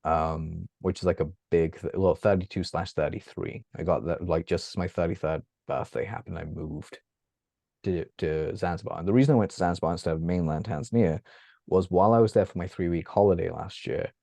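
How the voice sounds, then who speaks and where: Deep-voiced man in his thirties. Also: a little fast, gravelly, dry recording. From England. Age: 30s. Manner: animated, laughs often, swears casually. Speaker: guest. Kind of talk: casual interview chat. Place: childhood bedroom at home. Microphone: video call.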